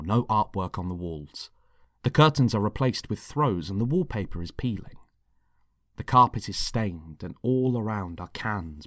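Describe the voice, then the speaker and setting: A man narrates a dramatic script in a low register. His delivery is slow and measured, and the recording is dry and close, with no room sound. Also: no room sound. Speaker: writer and narrator.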